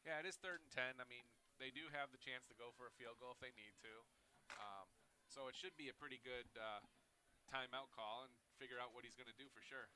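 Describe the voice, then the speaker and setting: A man giving relaxed color commentary on a high school football game, easygoing and breaking down the plays. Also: fairly quick. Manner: relaxed, easygoing analysis with fillers.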